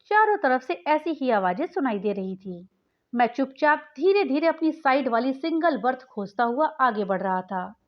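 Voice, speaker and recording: A woman narrating a scripted short story in an expressive, dramatic storytelling manner. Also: sits high, normal speed. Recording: dull, lo-fi sound.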